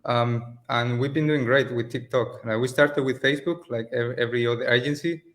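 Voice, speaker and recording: A man from Spain, a native Spanish speaker in his late twenties, talking relaxed and casual as a guest on a video call, with loose phrasing and restarts. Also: mid-range voice, a little fast. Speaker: agency owner, guest. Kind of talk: casual interview over a call. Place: rented office in Mexico.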